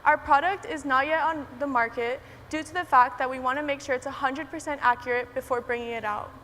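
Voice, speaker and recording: Bright high-voiced eighteen-year-old woman. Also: fairly even intonation, a little fast, a little hiss or hum. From Miami, United States. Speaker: student entrepreneur speaker. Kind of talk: prepared conference talk. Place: large conference hall stage. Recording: reverberant hall with faint ambience.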